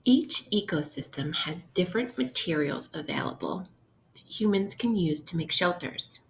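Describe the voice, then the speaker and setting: Female primary teacher, read-aloud lesson style, slightly low pitch, unhurried instructional delivery, slight background noise. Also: animated, vocal fry, rough recording. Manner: instructional, posing questions to listeners. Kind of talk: interactive read-aloud lesson for young children.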